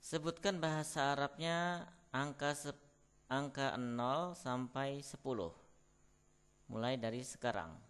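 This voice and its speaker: A man's voice: mid-range voice, a bit bright, clean tone. Man reading drill prompts for a class. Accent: Indonesian. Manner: reads short Indonesian phrases as prompts, with pauses for answers. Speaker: Arabic tutor.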